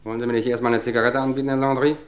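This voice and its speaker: A man's voice: mid-range voice, little pitch movement, slight background noise, close to an everyday voice. Actor as suave, mocking male poisoner. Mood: smug, mocking. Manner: suave, mocking, measured, theatrically polite. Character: smug, cultivated male poisoner, coolly taunting police. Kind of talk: vintage German radio crime drama acting.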